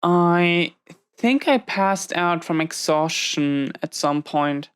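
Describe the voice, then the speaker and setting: A woman playing a battle-scarred prison inmate villain in an intimate audio roleplay, confiding in a halting, reflective, weary way. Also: on the low side, lively intonation, clear, resonant tone. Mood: pained recollection. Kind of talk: slow intimate audio roleplay monologue to a listener.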